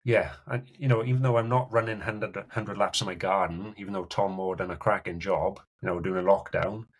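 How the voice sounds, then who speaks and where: A man's voice: low voice, steady tone, fairly quick. A middle-aged man with a Welsh accent, a podcast guest telling his story in loose, chatty talk full of "you know"s. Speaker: guest endurance athlete. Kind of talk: casual podcast chat.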